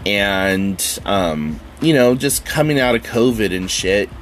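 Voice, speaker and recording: A man's voice: on the low side, expressive, slow pace, a little hiss or hum. A man hosting his own podcast in a casual, rambling solo chat, sounding nasal and stuffy from a summer cold. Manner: rambling, chatty, with frequent fillers and casual swearing. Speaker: podcast host.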